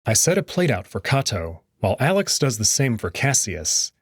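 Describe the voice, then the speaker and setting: A man narrating a story in the first person in an expressive storytelling manner. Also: mid-range voice, normal speed, slightly rough, dry recording. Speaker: audio drama narrator.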